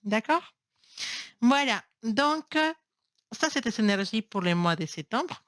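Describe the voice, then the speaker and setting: A woman's voice: a little high, lively intonation, measured pace, fairly bright tone, dry recording, studio quality. A woman who is not a native speaker, reading tarot cards to camera in a casual, affectionate way, often stopping to search for words and restarting phrases. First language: not French. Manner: affectionate, encouraging. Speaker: tarot reader. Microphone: built-in camera mic.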